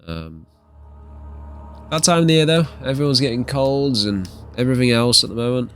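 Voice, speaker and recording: A man with a slightly croaky voice from a cold, giving hesitant, rambling let's play commentary as a gaming video host. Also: mid-range voice, lively intonation, moderate pace, fairly bright tone, soft background music. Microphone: desktop USB microphone.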